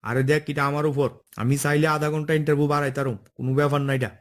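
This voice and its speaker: পুরুষের অভিনয়ে দৃঢ়, দ্রুতভাষী বন দপ্তরের অফিসার. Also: male voice, fairly high pitch, flat delivery, very fast, natural voice. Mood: worried, firm. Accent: eastern Bengali dialect. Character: firm, fair-minded male forest range officer. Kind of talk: cartoon dialogue.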